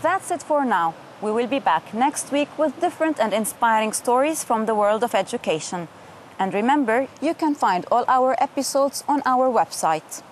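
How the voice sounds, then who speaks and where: A woman presenting a television news magazine in a fairly high voice. She reads from her script in a clear, measured way. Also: expressive.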